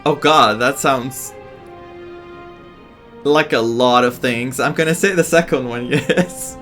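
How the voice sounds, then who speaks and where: A man's voice: mid-range voice, slow pace, a bit bright, faint music behind. A man giving casual, amused, reactive commentary as he plays through a video game. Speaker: gaming streamer.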